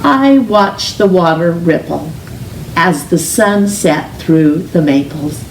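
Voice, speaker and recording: A woman reading a children's picture book aloud in an expressive, gentle, deliberate storytelling manner, with drawn-out emphasis on words. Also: lowish voice. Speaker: storytime reader, teacher. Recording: roomy, distant mic with faint hiss.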